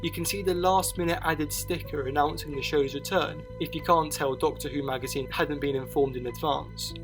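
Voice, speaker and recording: A man's voice: on the high side, fairly even intonation, moderate pace, music in the background. A man reading scripted narration for a documentary-style video essay.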